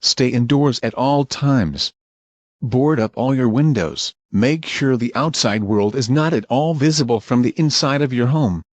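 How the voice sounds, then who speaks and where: A man reading a scripted mock emergency alert as a broadcast announcer, formal, measured and urgent. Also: medium pitch, no echo.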